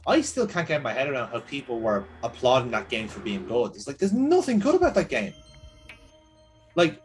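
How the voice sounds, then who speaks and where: A man's voice: mid-range voice, moderate pace, soft background music. A man co-hosting a let's play, chatting casually with sarcasm and frequent swearing. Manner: animated, sarcastic, swears a lot. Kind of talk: casual gaming commentary.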